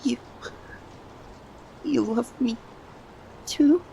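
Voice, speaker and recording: A man playing a lovesick young man confessing to a childhood best friend in a halting ASMR roleplay monologue. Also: very high-pitched, creaky, mellow, a little hiss or hum, full character voice, low-quality recording. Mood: overwhelmed, pleading. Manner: halting, breaks phrases with long pauses.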